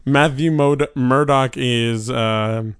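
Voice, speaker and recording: A man's voice: mid-range voice, fairly even intonation, slow, clear, resonant tone, no echo, studio quality. A man casually guessing answers in a chat, hesitant and jokey, with many fillers. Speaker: guest.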